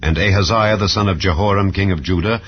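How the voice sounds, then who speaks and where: Deep-voiced guy reading the bible slow and solemn, kinda muffled audio, soft music under it. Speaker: Bible reader. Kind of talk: scripture reading.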